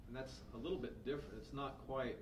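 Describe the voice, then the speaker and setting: Man, low voice far from the mic, clearly noisy meeting room, tentative casual questioning. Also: fairly even intonation, quick pace. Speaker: audience member asking questions. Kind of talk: casual discussion. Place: club meeting room, indoors. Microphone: far from the microphone. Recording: noisy, distant amateur meeting recording.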